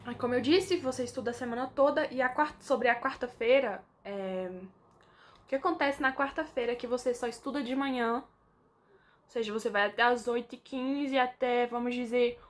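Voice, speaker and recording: A woman's voice: a little high, moderate pace. A young Brazilian woman with a Brazilian Portuguese accent, chatting casually to camera in an informal vlog with lots of fillers. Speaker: vlogger.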